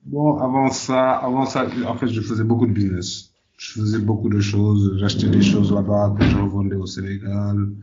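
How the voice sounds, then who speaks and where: A man's voice: on the low side, little pitch movement, moderate pace, few pauses, highs cut off, background noise. A man from Senegal answering conversationally as an interview guest, often repeating words as he searches for phrasing. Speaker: podcast guest, entrepreneur.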